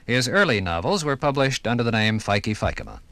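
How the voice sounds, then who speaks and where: An American man narrating a scripted 1950s radio documentary with formal, measured, dramatic phrasing. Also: mid-range voice, very animated, a bit bright, no echo.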